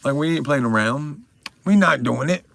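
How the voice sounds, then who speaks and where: A gravelly, fry-laden man with a drawl talking to his viewers in a loose, rambling stream. He swears freely and jokes around, and his voice sits close and dry, with no room sound. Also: on the high side, expressive, normal speed, a bit bright, dry recording. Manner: rambling, profane, joking with chat. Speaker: livestream host. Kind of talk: casual livestream chat. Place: at home.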